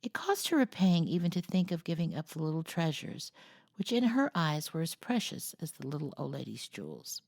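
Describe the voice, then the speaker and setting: A woman reading a classic novel aloud as expressive storybook narration. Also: deep voice, moderate pace, vocal fry, no room sound, clean studio sound. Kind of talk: expressive scripted audiobook narration.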